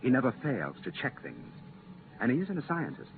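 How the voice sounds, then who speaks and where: An actor playing an earnest, regretful but firm commission official in a 1950s radio drama, speaking deliberately and emphatically. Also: male voice, medium pitch, huge pitch swings, creaky. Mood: earnest, insistent. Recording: muffled, noisy 1950s radio transcription.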